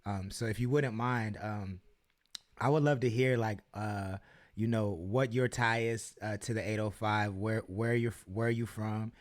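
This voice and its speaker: A hyped, chatty man hosting a laid-back radio interview, piling on fillers and cheering his guest on. His voice sits low, and the recording is dry and close with no room sound. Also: fairly even intonation, unhurried, dry recording. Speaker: radio show host. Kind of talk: casual podcast interview.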